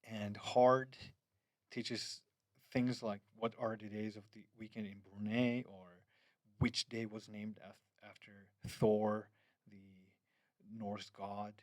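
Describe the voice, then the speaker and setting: A man's voice: on the low side, flat delivery, slow pace, no echo. Hesitant man. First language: Finnish. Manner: hesitant, many fillers and restarts, loose phrasing. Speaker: app developer. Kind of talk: screen-recorded app demo.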